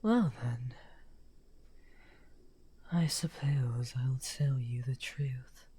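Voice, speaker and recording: A man playing a misunderstood outcast necromancer wizard, smugly amused and taunting, in an unhurried, breathy, often half-whispered ASMR roleplay. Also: mid-range voice, mellow, exaggerated voice. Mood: intrigued, coy. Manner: unhurried, taunting, drawn-out phrasing. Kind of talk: slow theatrical ASMR roleplay.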